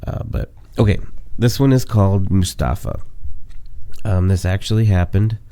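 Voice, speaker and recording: Stuffy-voiced man with a cold, very low and gravelly. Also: moderate pace, warm tone, no room sound. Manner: rambling, many fillers, self-mocking. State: sick with a cold. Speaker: podcast host. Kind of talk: casual monologue and memoir reading.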